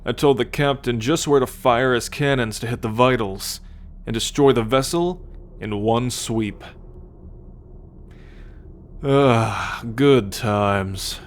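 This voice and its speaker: A man playing a smug, teasing, haughty alien soldier and scout in an ASMR roleplay, talking straight to the listener with deliberate condescension. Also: mid-range voice, lightly acted. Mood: boastful, nostalgic. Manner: slow, deliberate, smugly condescending.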